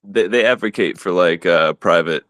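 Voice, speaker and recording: A man calling in to a live audio chat, speaking haltingly with many restarts. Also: sits low, fairly bright tone, no echo. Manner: halting, full of restarts and fillers. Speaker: caller.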